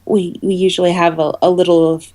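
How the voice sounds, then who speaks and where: A woman speaking as an interview guest, explaining things informally in a halting way with many ums. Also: fairly low pitch, level tone, mellow. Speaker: interview guest, awards organiser.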